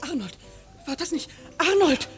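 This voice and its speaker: Anxious, high-voiced gentlewoman. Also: sing-song, unhurried, faint music behind. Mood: alarmed. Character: anxious, worried, pleading gentlewoman. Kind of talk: German radio drama acting.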